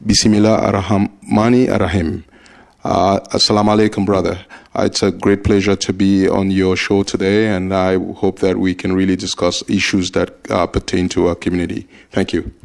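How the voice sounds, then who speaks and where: A man from Cameroon in his forties, an immigration attorney answering interview questions in a measured way with frequent hesitations. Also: sits low, vocal fry. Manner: measured, steady, with frequent uh fillers.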